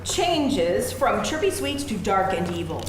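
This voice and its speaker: A woman's voice: medium pitch, very animated, unhurried. A woman reading stage directions as a scripted narrator at a live table read. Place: live event room before an audience. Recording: very echoey live room, faint noise.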